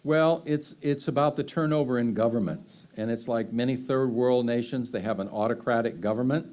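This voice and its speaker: A man's voice: medium pitch, moderate pace, darkish tone. A man, answering audience questions in a loose, rambling way full of fillers and restarts. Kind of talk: unscripted Q&A answers.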